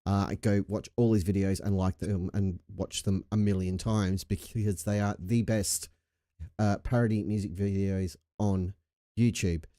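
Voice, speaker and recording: A man's voice: low-pitched, fairly even intonation, a little slow, warm tone, no echo, clean studio sound. An Australian man hosting a casual livestream, laid-back, jokey and sweary, getting over a chest infection. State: recovering from a chest infection with a lingering cough. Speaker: livestream host.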